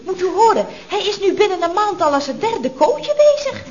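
Kwebbelende secretaresse, hoog en levendig. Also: female voice, extremely high pitch, very animated, moderate pace. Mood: chatty, amused. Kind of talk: 1970s radio comedy acting. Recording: dull vintage radio recording, audience laughter.

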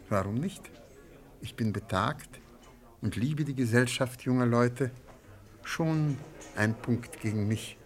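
An actor playing an elderly, formal and shrewd antiques dealer who reads horoscopes, in a measured German radio drama style. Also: male voice, medium pitch, close to an everyday voice. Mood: courteous, sly. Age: older. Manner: formal, measured, politely ornate. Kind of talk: German radio play acting.

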